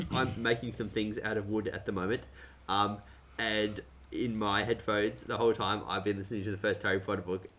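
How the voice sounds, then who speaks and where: A man's voice: on the low side, steady tone, moderate pace, fairly bright tone. A man chatting casually as a podcast co-host. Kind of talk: casual chat.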